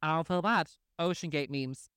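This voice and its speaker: A man's voice: on the high side, normal speed, a bit bright, clear, resonant tone, dry recording, studio quality. A man co-hosting a podcast in casual chat, Spanish his first language, sassy and campy with plenty of shade. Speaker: podcast co-host.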